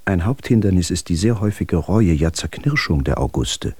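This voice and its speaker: Husky, low-voiced dry observer. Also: male voice, lively intonation, moderate pace, mellow, no echo. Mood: dry, sardonic. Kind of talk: radio drama reading of letters.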